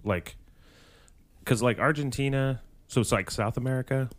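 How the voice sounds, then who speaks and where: A man's voice: medium pitch, normal speed. A man co-hosting a casual chat, rambling with fillers and cracking jokes. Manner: rambling, many fillers, jokey. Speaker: podcast co-host.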